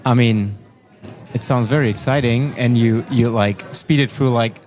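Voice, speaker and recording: A man's voice: medium pitch, moderate pace, a little mellow, faint music behind. A man hosting a podcast interview, asking friendly questions and repeating words as he forms them. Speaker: podcast host. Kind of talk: conversational interview.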